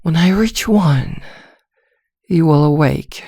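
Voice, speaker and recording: A woman playing a seductive, domineering female vampire hypnotist in an ASMR roleplay, speaking softly, deliberately and with teasing command. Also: low-pitched, lively intonation, slightly rough, dry recording, clean studio sound, full character voice. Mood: commanding, hypnotic.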